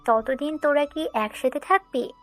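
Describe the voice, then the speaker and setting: A woman's voice: sits high, a little slow, soft background music. A woman voicing a caring, scheming young woman in a romantic audio story. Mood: concerned.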